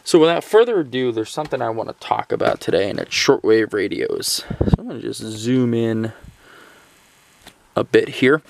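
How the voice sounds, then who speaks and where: A man with a creaky, gravelly voice talks through a casual gear review. He speaks off the cuff and haltingly, often pausing mid-phrase. The sound is dry and close, with little room around it. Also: mid-range voice, dry recording. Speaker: gear and radio reviewer hosting a video. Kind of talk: casual product review talk, unscripted.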